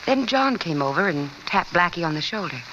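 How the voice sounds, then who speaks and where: A woman's voice: fairly low pitch, very animated, a little fast, a bit bright. World-weary woman, muffled hissy radio recording. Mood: guarded, matter-of-fact. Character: world-weary woman confidante who knows the underworld crowd. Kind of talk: 1940s-50s American radio drama acting.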